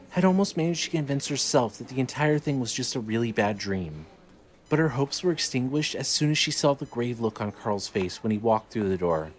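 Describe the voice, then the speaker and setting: A man narrating scripted fiction in a dramatic storytelling style. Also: medium pitch. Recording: light noise, ambience behind.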